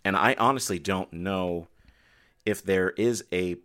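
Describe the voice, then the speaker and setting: Deep-voiced man with a nasal head cold. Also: a little slow, fairly bright tone, smooth, no room sound. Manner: chatty, talks a lot with restarts. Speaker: podcast host. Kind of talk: casual podcast chat.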